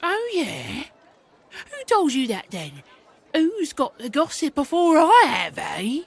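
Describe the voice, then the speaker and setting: A woman's voice: high-pitched, wildly swooping pitch, slow, creaky, full character voice. A woman playing a nosy, demanding village gossip with a British accent in a comic audio drama. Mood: nosy, incredulous.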